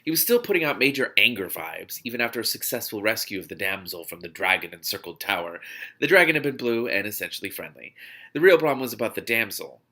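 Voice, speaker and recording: A man reading fiction aloud as host of a read-along show, with expressive, dramatic delivery. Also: on the low side, quick pace. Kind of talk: expressive audiobook-style reading with spontaneous reactions.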